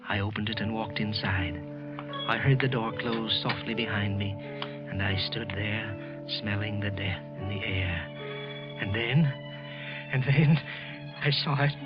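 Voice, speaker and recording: A man's voice: on the low side, unhurried, long pauses, lightly acted. A man acting a nervous, resentful clerk who secretly killed, with a hesitant, evasive manner, in an old-time radio suspense play. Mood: horrified. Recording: muffled vintage radio recording, background music.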